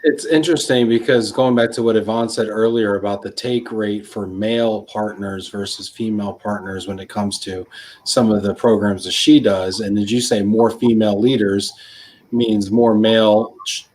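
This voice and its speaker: A man's voice: on the low side, fairly even intonation, moderate pace, darkish tone, a little hiss or hum, rough recording. Stumbling, good-humored male panel host. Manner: stumbling, self-deprecating, laughs at himself. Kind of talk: live panel discussion.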